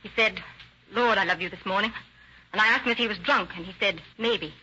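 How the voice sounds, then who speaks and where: A woman's voice: medium pitch, fairly quick, sharp tone, husky. An actress playing an evasive woman on trial for murder, testifying defensively in an old radio drama. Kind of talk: 1940s radio acting. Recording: muffled, hissy old radio recording.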